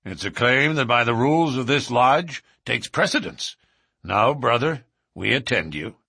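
A man voicing a hearty, domineering male Irish lodge boss in a storybook, booming and ceremonious. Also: animated, a little slow, vocal fry, pushed and bright, husky, no echo, studio quality, full character voice. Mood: commanding.

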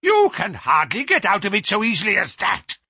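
A man voicing an imperious, malicious old professor with sharp, sneering questions in a storybook reading. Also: very animated, measured pace, husky, no echo, studio quality, exaggerated voice. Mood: furious. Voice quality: high, screaming when roused. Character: malicious old male professor, imperious, erupting into screaming rage. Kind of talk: dramatised audiobook reading.

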